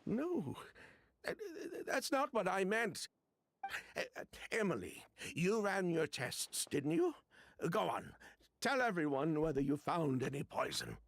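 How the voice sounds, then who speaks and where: A man's voice: a little high, wildly swooping pitch, gravelly, dry recording, clean studio sound, full character voice. A man playing an anxious, defensive game character who stammers and halts as he talks. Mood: defensive, flustered. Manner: halting, stammering. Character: anxious, defensive man hiding a family secret. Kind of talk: video game voice acting.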